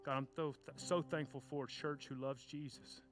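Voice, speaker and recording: A children's pastor, preaching fervently and then praying, loud and passionate at the peaks. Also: male voice, medium pitch, steady tone, normal speed. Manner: fervent shouting preacher, stomps and cries. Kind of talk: sermon and closing prayer. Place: church sanctuary. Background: soft guitar music.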